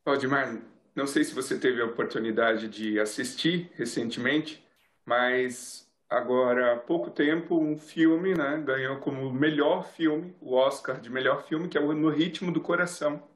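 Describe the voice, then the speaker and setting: A male TV interviewer asking measured questions and often adding tag questions. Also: mid-range voice, echoey room. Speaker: interviewer, TV host.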